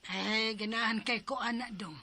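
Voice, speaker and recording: Radio drama mother, loving and middle-aged, Cebuano accent, high voice against background noise. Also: steady tone, normal speed, sharp tone. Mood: wistful, pleased. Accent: Cebuano Filipino.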